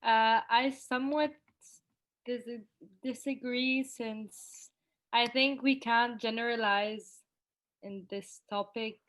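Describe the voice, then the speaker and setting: A teenage girl giving her opinion in a measured, slightly hesitant way as a panelist on a video call. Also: on the high side, steady tone, a bit bright. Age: teens. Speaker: student panelist.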